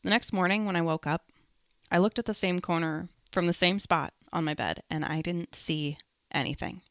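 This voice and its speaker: A woman's voice: on the low side, normal speed, a bit bright, no room sound, studio quality. A woman hosting a podcast, telling personal spooky stories in her own words. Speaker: podcast host.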